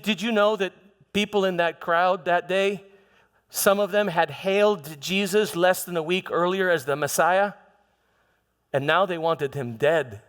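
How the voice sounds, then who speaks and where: Slow, high-pitched, proud Roman governor acted by a man. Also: close to an everyday voice. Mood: bitter, incredulous. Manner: impassioned, halting, dramatic, with stammered repeats. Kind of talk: theatrical church monologue. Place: large church hall. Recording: reverberant hall with music.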